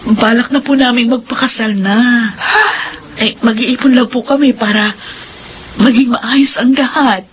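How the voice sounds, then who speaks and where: An actress playing a devoted, emotional young eldest daughter in a melodramatic radio drama. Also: female voice, on the high side, steady tone, unhurried, slight background noise, close to an everyday voice. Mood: earnest. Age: young adult.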